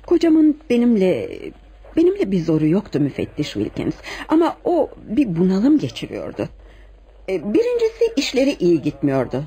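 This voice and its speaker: A charming young wife under suspicion in a radio drama. Her voice is mellow, with hugely varied, theatrical intonation and a poised, deliberate delivery. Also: mid-range voice, wildly swooping pitch, slightly rough, heavily acted. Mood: pleading, wounded.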